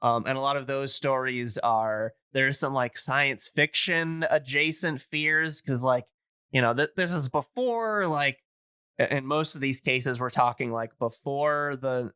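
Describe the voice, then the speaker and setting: A man co-hosting a casual podcast discussion, explaining things in a halting, digressive way. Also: medium pitch, fairly even intonation, bright, forceful tone, clear, resonant tone, dry recording, studio quality. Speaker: podcast co-host explaining radio history.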